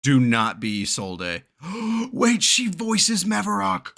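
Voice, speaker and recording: A young man casually reacting to a game as he plays, chatty and excitable, with the odd swear word. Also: high-pitched, takes it slowly, vocal fry.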